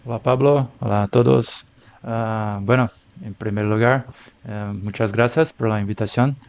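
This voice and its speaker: A man's voice: on the low side, fairly even intonation, measured pace, darkish tone, highs cut off. A man from Brazil with a Brazilian Portuguese accent, a native Portuguese speaker, answering interview questions as a guest carefully with frequent "ah" fillers. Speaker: physiotherapy researcher, guest.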